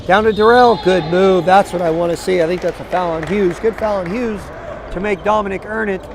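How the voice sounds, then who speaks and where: A hoarse man with a raspy voice doing live, partisan color commentary for a basketball game. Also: high voice, a little fast, background noise. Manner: partisan, cheers on one team with "we". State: hoarse, tired voice.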